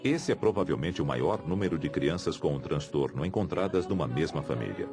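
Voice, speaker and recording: A man with a very low voice narrates a documentary in a Brazilian accent. He reads from a script at a brisk pace over clearly audible background music. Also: lively intonation.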